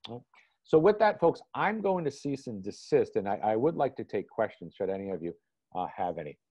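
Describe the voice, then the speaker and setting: A man giving a relaxed online art history lecture, loose and conversational, with frequent "you know" fillers. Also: on the low side, fairly quick, no echo. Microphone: video call. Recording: dull lo-fi video call audio.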